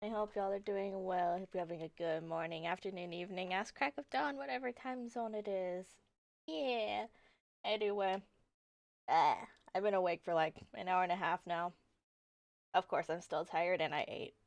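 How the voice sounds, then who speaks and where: A woman's voice: fairly low pitch, moderate pace, gravelly. A woman livestreaming a game, chatting casually in a laid-back, rambling, self-deprecating way. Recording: roomy echo, soft background music. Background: soft background music.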